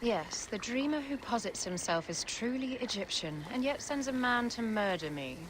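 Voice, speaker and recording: An actress playing a regal, calculating Egyptian queen in a game, composed, deliberate and commanding. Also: on the high side, expressive, background noise, close to an everyday voice. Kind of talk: video game cutscene acting.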